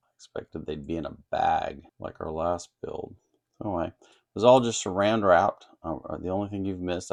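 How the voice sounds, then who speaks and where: A man's voice: low-pitched, vocal fry, darkish tone. A man giving an informal technical explanation in a plainspoken, folksy, step-by-step way, like a hobby instructor at a workbench. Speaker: host of an electronics kit-building channel.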